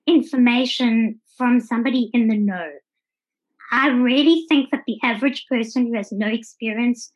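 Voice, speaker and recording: A woman's voice: on the high side, husky. A woman with a South African accent giving casual, conversational answers as an interview guest. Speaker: podcast guest, traveller. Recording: dull, lo-fi audio.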